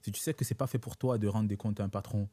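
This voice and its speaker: A young man, born in Italy and raised in Africa, who learned French later, talking as an interview guest in a measured way, checking in with his listener. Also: lowish voice, steady tone, dark tone, no room sound. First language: not French. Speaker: guest entrepreneur. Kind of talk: casual interview talk.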